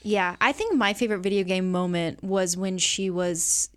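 A woman's voice: fairly low pitch, normal speed. Woman in casual, conversational film chat. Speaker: podcast guest co-host discussing a film.

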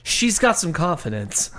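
A man with a high voice trades crude jokes with his co-host and laughs often. The talk is loose, casual banter. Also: pushed and bright, a little hiss or hum. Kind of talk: casual comedic podcast banter.

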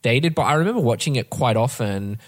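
A man's voice: mid-range voice, lively intonation, brisk. A man hosting a casual film discussion, giving opinions in a slangy, conversational way. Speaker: podcast host.

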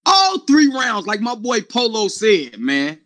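A man's voice: high-pitched, huge pitch swings, slow, bright, forceful tone. A man from the US Midwest doing slangy, casual battle rap hype talk, emphatic and repetitive.

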